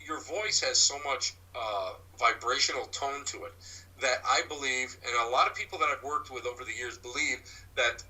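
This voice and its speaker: A man with a loud voice, answering interview questions about his paranormal work in a rambling way, full of restarts and fillers. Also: medium pitch, normal speed, rough recording. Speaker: paranormal investigator and medium. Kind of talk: interview guest, casual explaining.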